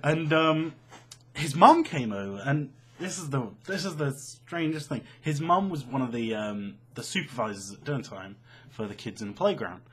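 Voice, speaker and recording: A young British man with a slightly husky voice, telling a personal story casually in an animated, sweary, self-mocking way. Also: medium pitch, normal speed. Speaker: online storyteller.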